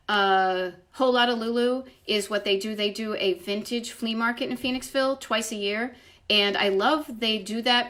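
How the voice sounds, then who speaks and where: A woman's voice: a little high, a bit bright. A woman with a slight Boston accent chatting casually as a livestream host, rambling effusively and interrupting herself with emphatic asides. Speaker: livestream host, retro travel writer. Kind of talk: casual chat answering live viewer comments. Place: at home, indoors.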